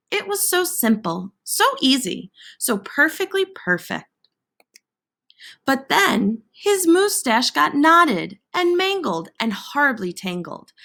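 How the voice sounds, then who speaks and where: A woman reads a children's picture book aloud in a fairly high voice. She takes her time, pausing for effect and leaning on playful words. Her pitch moves widely, giving the narration a theatrical storytelling feel. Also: vocal fry, fairly bright tone. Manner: theatrical, dramatic pauses and emphasis on alliterative words. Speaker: storybook reader.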